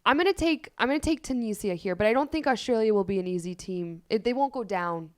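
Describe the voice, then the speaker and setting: Woman, Polish native speaker, smooth clear voice, blunt, podcast co-host. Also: mid-range voice, lively intonation, fast. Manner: blunt, outspoken opinions. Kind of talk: casual sports podcast chat.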